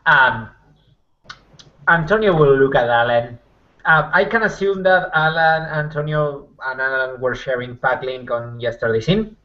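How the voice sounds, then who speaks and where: A man improvising in a tabletop roleplaying game, halting and repeating phrases, on dull lo-fi audio. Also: mid-range voice. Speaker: tabletop role-player. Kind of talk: casual improvised in-character roleplay.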